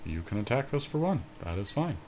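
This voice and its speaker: A man's voice: fairly low pitch, sing-song, brisk, a little mellow, a little hiss or hum. A man playing a card game as a podcast co-host, informally narrating his moves aloud in casual commentary.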